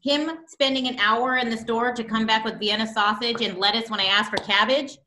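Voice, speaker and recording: A woman in her thirties co-hosting a video call from home, telling her story in an animated way and acting out the quotes. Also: a little high, fairly quick, a little hiss or hum. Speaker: co-host. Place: living room at home. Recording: echoey room audio.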